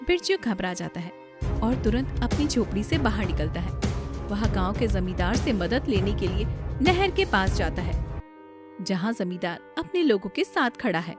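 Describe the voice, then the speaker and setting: A woman's voice: medium pitch, very animated, moderate pace, music in the background. A woman narrating a scripted story.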